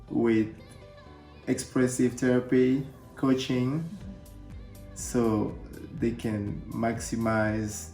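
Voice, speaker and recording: A man's voice: medium pitch, vocal fry, a little mellow. A man, raised in Paris, co-hosting a casual couple Q&A in a playful, joking way. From born in Cameroon, grew up in Paris. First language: French. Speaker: co-host. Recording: echoey room with music.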